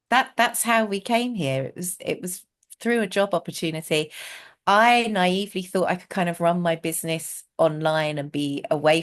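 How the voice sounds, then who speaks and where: A middle-aged British woman chatting as an interview guest, laughing often and filling pauses with ums. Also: lowish voice. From Britain. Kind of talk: casual interview conversation.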